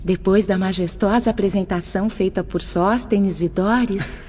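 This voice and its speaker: A woman's voice: medium pitch, moderate pace, darkish tone, a little hiss or hum, natural voice. A woman playing a devout, modest spirit teacher in an audio drama, with a formal, deferential, instructive manner. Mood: modest, courteous. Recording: dull muffled audio, long reverb, music behind.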